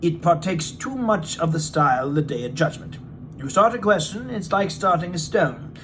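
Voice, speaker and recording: A man voicing a talkative, candid gentleman who tells an odd tale with emphatic, exclamatory delivery in a storybook reading. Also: a little high, animated, normal speed, slight background noise. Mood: animated, opinionated.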